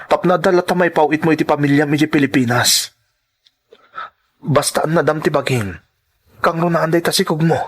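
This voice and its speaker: A man acting in an emotional drama as an earnest lover who pleads slowly to part. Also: a little high, fairly even intonation, husky. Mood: tender, serious. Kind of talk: Ilocano radio-style drama acting.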